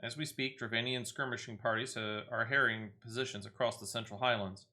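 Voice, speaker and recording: A man hosts a casual video game playthrough in a bright, forward voice at a middling pitch. He talks half to himself as he goes, switching between offhand remarks and reading game text aloud. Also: steady tone, brisk. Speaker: gaming video host.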